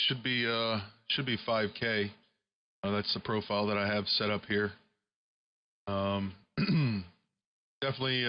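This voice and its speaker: Low-voiced man on dull ham radio. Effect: single-sideband radio transmission with processed audio. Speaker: amateur radio operator. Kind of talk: casual ham radio chat. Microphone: studio broadcast microphone. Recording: dull, band-limited shortwave radio audio.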